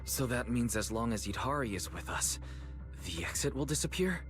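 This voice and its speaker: Actor as an earnest, expressive young man. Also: mid-range voice, moderate pace, lightly acted. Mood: thoughtful. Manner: encouraging, concerned. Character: earnest, caring young man leading a team. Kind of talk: English video game voice acting. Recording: music behind the dialogue.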